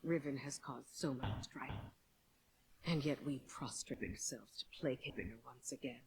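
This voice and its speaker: A woman's voice: extremely low pitch, measured pace, noisy background. A woman playing a dutiful military officer in a video game, speaking in a firm, businesslike briefing manner. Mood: bitter doubt. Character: brisk, dutiful female military officer, skeptical but loyal. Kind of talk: game mission briefing dialogue.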